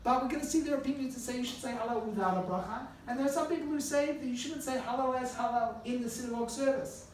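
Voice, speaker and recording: A man's voice: very high-pitched, fast talker, hardly any pauses. A middle-aged man from Australia, a rabbi giving a conversational classroom lecture and telling stories with asides to his students. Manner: relaxed storytelling with asides to the students. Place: classroom with a live audience. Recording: echoey distant classroom recording with faint noise.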